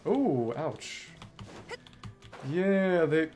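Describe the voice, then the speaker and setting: Animated man musing over a video game. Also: on the high side, drawn-out words. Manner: musing, thinking aloud. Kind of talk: casual gameplay commentary, reading game text aloud. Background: game music.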